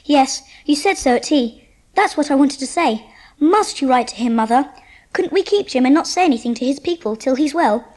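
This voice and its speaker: A woman acts out a bold, earnest Edwardian schoolboy in an audiobook drama. The voice is high and boyish. The boy sounds eager and sure of himself. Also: lively intonation, moderate pace, a little mellow. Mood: earnest, pleading.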